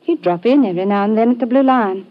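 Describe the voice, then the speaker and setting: On a slightly muffled vintage recording, an actress plays a British barmaid in a radio mystery. Her voice is fairly high, and she is guarded and terse in her answers. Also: quick pace, close to an everyday voice. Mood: subdued, guarded. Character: guarded working-class British barmaid, estranged wife. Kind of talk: old-time radio detective drama acting.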